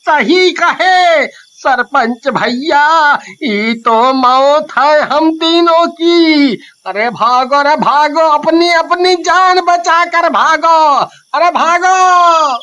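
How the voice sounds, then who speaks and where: Plain-spoken rustic villager, man acting, eastern Hindi accent: bright, forceful tone, very drawn-out exclaiming delivery. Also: very high-pitched, heavily acted. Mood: panicked.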